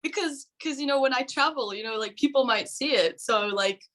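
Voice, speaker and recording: A woman's voice: high voice, fairly quick, fairly bright tone. A woman from Norway in her twenties, a vet student and guest, chatting casually and laughing often. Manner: laughs often, chatty with many likes and you-knows. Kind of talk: casual chat.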